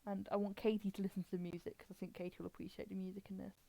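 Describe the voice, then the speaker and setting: Mellow, casual woman streamer. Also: medium pitch, little pitch movement, rapid-fire, vocal fry, rough recording. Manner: informal, rambling, explanatory. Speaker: live stream host. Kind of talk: casual chatty commentary.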